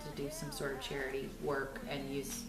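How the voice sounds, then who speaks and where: A woman's voice: extremely low pitch, completely flat delivery, moderate pace, loud music behind the voice. A woman from Dallas, a songwriter chatting casually in an interview, talking freely and restarting her sentences with "you know". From Dallas, Texas. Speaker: songwriter guest. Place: recording studio. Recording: roomy studio sound.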